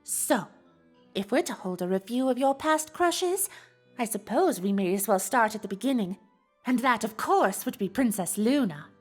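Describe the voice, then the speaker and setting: A woman's voice: fairly high pitch, lively intonation, normal speed, faint music behind. A woman voicing an elegant, teasing female pony in a dramatized story reading, with an affected, refined delivery. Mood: teasing, assured.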